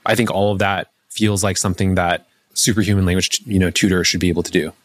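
A man answering interview questions in a measured, explanatory way, with many "like" fillers. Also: low voice, steady tone, dry recording. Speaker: language learning app founder.

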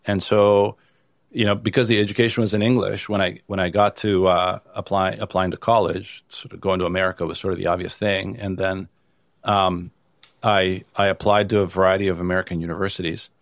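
A man from Italy answering interview questions in a low, loose conversational voice. He restarts phrases often and leans on "you know." The sound is dry and close. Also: level tone, brisk, dry recording. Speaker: venture capital investor, guest.

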